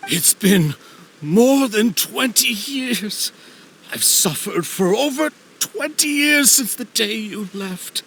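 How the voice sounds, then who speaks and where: An actor playing an obsessive middle-aged man pining for his lost love in a video game, emotional, halting and pleading. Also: high-pitched, lively intonation, fairly bright tone, slight background noise, full character voice. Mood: anguished devotion. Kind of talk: dramatic video game voice acting.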